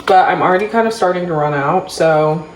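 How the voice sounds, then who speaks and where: Young woman, Dallas-raised, Igbo first language, vlogger; low voice, candid chatty delivery, light background noise. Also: a little fast, low-quality recording. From Dallas, Texas. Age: young adult. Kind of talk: casual vlog chat to camera.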